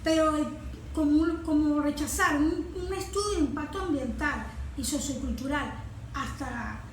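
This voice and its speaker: High-voiced Venezuelan woman, halting, echoey room. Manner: halting, searching for words, repeating phrases. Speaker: environmental consultant and forestry engineer being interviewed. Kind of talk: interview answers, explanatory talk. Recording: echoey room with clear background noise.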